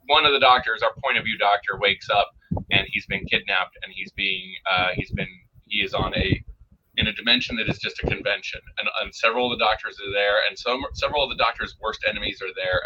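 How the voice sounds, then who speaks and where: A man chatting casually in a review, explaining his points and acting out mock quotes, over an online call. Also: lowish voice. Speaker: guest reviewer. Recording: noisy, muffled, dull microphone sound.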